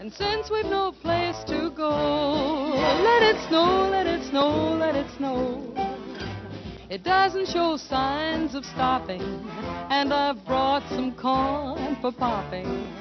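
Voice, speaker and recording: Woman crooning slowly over a loud band. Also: high-pitched, over loud music. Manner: smooth, slow crooning. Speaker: singer. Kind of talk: 1940s popular song with band. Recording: muffled 1940s radio broadcast. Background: band accompaniment.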